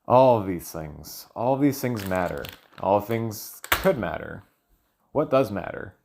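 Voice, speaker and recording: A man's voice: fairly low pitch, very animated, a little slow, creaky, darkish tone, slight background noise. A man thinking aloud in a loose solo monologue, hesitant and often trailing off as he searches for words. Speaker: solo audio log creator.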